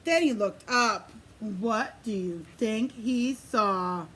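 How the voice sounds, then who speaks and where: A woman preschool teacher reading a picture book aloud in an expressive, sing-song way, pausing to put questions to young children. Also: fairly high pitch, a little hiss or hum.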